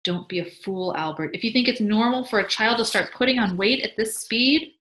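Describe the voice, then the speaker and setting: A woman's voice: fairly high pitch, moderate pace, a bit bright, pitched above the performer's own voice, lightly acted. A woman voicing an anxious, tight-lipped mother in a storybook, fierce and commanding as she orders and scolds her husband. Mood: furious. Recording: roomy echo.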